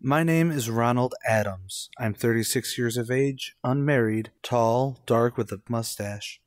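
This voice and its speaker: An actor playing an anxious American man in his thirties in an old-time radio thriller, with measured, dramatic delivery. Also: medium pitch, no room sound, clean studio sound, natural voice. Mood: haunted, urgent. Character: anxious 36-year-old American man telling his eerie road story. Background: music.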